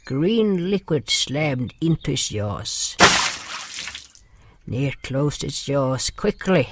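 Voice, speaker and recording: A man playing a gentle, caring healer with water powers in a fantasy audio drama, speaking in slow, formal, slightly stilted phrases. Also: mid-range voice, very animated, noisy background, heavily acted. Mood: solemn storytelling. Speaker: narrator and lead character. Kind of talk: first-person fantasy audio drama narration and dialogue. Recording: music behind the voice.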